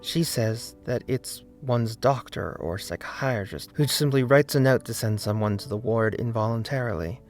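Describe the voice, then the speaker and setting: A man narrating a scripted video essay in an earnest, deliberate way, with emphasis on key words. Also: mid-range voice. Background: faint background music.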